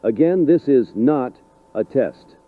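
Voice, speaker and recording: A man playing a composed 1980s emergency broadcast announcer, speaking in a deliberate, official style. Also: medium pitch, animated. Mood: formal, composed. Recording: tinny narrow-band 1980s radio broadcast.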